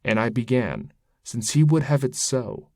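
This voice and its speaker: A man reading epic poetry aloud as narrator, in a solemn, measured, declamatory manner. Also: medium pitch, a little mellow, no echo, clean studio sound. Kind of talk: dramatic recital of epic verse read aloud.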